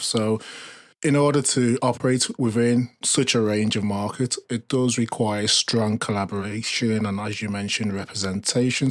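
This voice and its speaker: Low-voiced male podcast host, dry sound. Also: no echo. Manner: affirming, recaps before asking. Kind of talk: interviewer asking questions.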